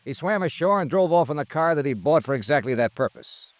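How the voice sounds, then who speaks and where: An actor playing a world-weary, blunt American insurance investigator in a 1950s radio detective drama, speaking in clipped, cynical lines. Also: male voice, on the high side, lively intonation, a little fast, no echo, close to an everyday voice. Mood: blunt, accusing. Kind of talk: 1950s radio detective drama acting with first-person narration.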